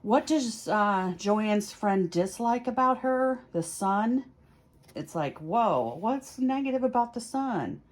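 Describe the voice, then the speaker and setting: A woman's voice: on the high side, expressive, takes it slowly. A woman hosting a casual explanatory video, thinking aloud in a conversational, lightly self-mocking way as she does a tarot reading. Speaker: tarot reader and video host. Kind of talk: casual explanatory chat to camera.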